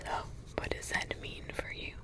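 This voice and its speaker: A woman voicing a protective witch grandmother in an ASMR roleplay, in a soft whisper with slow, rambling, repetitive asides. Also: close to an everyday voice. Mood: hushed, caring. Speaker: ASMR creator.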